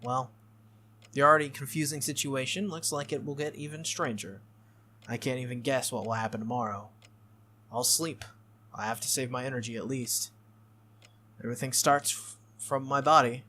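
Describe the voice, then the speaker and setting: A man's voice: mid-range voice, steady tone, bright, forceful tone, slight background noise, lightly acted. Man reading as a hesitant, earnest teenage boy. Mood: resigned. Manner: halting, uncertain.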